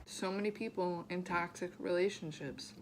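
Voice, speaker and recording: A woman's voice: on the low side, steady tone, moderate pace, a little hiss or hum, low-quality recording. A woman YouTuber giving blunt, emphatic advice to the camera. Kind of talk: motivational talk to camera.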